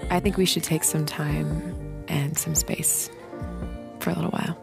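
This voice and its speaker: An actress playing an earnest young American woman in a game drama, speaking in a halting, restrained, awkward way. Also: low-pitched, little pitch movement, creaky, over music. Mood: awkward, serious. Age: young adult. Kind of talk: naturalistic game cutscene dialogue.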